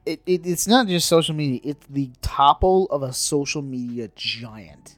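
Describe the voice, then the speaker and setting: A man co-hosting a casual nerd-news podcast, talking in a halting way and often stumbling over his words. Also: a little high, animated, no echo, faint music behind. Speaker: podcast co-host.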